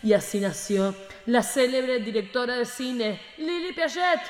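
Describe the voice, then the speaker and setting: A woman playing a dramatic narrator of a camp radio play, in measured, florid storytelling. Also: on the high side, expressive, echoey room, in a large hall, faint music behind. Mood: solemn, sensual. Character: dramatic female narrator of a camp erotic tale.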